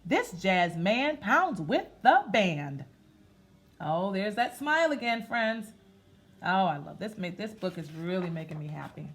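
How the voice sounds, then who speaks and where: A woman reading aloud to children in an expressive, sing-song way, talking to them directly as she goes. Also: medium pitch, measured pace. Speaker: children's story-time reader. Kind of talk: interactive read-aloud to children, part sung.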